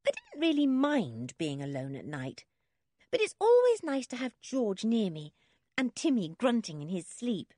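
A woman voicing a gentle, excitable young girl with an eager, exclamatory manner in a children's storybook. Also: a little high, a little slow, dry recording, voice pushed up, heavily acted. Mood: glad, fond. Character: young girl Anne, gentle, excitable, homely, adventure-shy.

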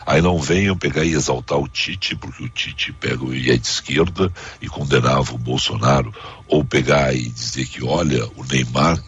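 Very deep, gravelly southern Brazilian man. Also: lo-fi sound. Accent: southern Brazilian Portuguese. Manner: emphatic, repetitive, colloquial. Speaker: radio talk show host. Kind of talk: casual opinionated on-air commentary.

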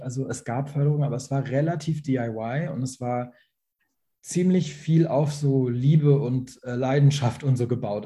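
A man's voice: medium pitch, warm tone. A young man on a video call, a guest speaking hesitantly, with many fillers and restarts. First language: Farsi. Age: young adult. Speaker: actor, invited guest. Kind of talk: online panel talk.

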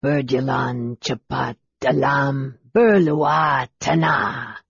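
Man playing a slow, proud, intense wizard. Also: medium pitch, creaky, fairly bright tone, slightly rough, pitched below the performer's own voice, full character voice. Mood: incantatory. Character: proud, quietly intense young male wizard. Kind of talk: audiobook character voice. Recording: roomy echo, slightly dull sound.

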